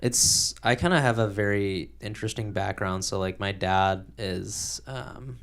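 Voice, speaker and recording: Young male podcast host, deep and creaky, easygoing rambling talk. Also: measured pace, fairly bright tone. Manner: casual, agreeable, many "like" fillers. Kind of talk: casual podcast conversation.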